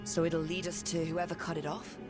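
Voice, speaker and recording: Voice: medium pitch, little pitch movement, brisk, close to an everyday voice. An actor playing an easygoing, wry adventurer in a video game, speaking casually and plainly. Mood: wry, friendly. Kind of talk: video game dialogue acting. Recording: music behind the voice.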